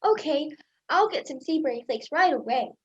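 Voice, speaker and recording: A woman's voice: high-pitched, expressive, moderate pace, dull audio. A woman playing a grateful, chirpy shopper in an old-time style radio commercial.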